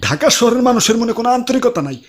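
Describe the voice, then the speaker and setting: A man's voice: very high-pitched, big pitch swings, racing, crowd noise. A man voicing a hapless, eager sidekick in a comic cartoon, telling silly mishaps in exaggerated Bangladeshi dialect over music. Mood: silly complaining.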